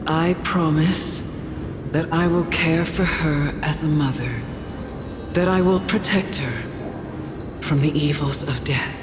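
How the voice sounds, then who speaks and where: A woman's voice: low voice, takes it slowly, pauses often. A woman playing a tender, solemn adoptive mother in a video game, speaking in lyrical, formal phrases. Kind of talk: dramatic video game acting. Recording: echoing hall reverb under music.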